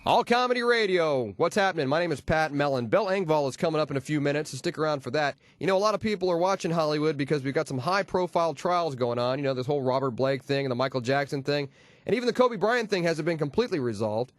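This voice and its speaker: Male comedy radio host, notably high voice, punchy wisecracking patter, dry close-miked studio sound. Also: expressive, fast talker, no echo. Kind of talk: comedy radio air-check patter with jokes. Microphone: close radio studio microphone.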